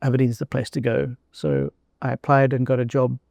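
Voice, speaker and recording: An older man from South Africa with a South African accent, talking thoughtfully in an interview, pausing often with fillers and restarts. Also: medium pitch, lively intonation, creaky, darkish tone, no echo. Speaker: guest, former company CEO. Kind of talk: casual interview conversation.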